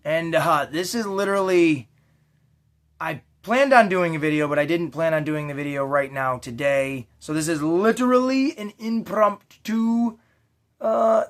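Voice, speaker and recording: A man with a slightly raspy voice hosting a casual, unscripted unboxing vlog for toy collectors, rambling along with slangy, enthusiastic asides. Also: a little high, a little slow, fairly bright tone. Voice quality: a little raspy. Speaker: toy collecting channel host.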